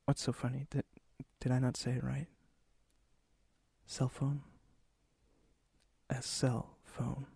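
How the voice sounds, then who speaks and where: Breathy, slow-speaking male vampire, acted by a man. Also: mid-range voice, monotone, no echo, exaggerated voice. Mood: shy, friendly. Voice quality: soft, breathy, often whispering. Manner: slow, intimate, hesitant, gently teasing. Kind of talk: ASMR roleplay.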